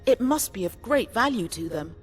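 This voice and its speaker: An actress playing a blunt, level-headed adventurer in a video game cutscene. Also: female voice, on the high side, expressive, normal speed, slightly rough, soft background music. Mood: matter-of-fact.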